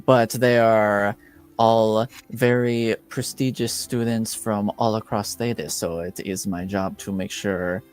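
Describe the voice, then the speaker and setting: Man acting a stern, slow-speaking university dean. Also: lowish voice, fairly even intonation, clear, resonant tone, faint music behind. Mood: skeptical, stern. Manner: measured, authoritative.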